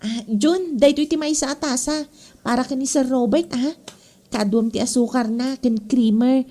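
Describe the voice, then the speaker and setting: A woman's voice: high voice, fairly even intonation, normal speed, dark tone. A woman playing a chatty, friendly office worker in a comedy drama, with a Filipino Ilocano accent. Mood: curious, friendly.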